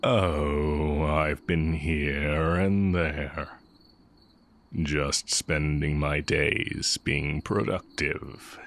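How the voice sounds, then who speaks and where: A man playing an exiled heretic dark-magic teacher in an intimate audio roleplay, wry and condescending yet fond of the listener. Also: extremely low pitch, fairly even intonation, drawn-out words, heavily acted. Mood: fond, musing.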